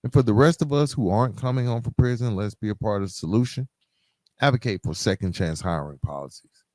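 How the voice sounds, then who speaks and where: A man's voice: on the low side, brisk, a little mellow, no echo. A man hosting a podcast solo, speaking in an earnest, emphatic motivational style straight to his listeners, repeating key phrases. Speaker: podcast host.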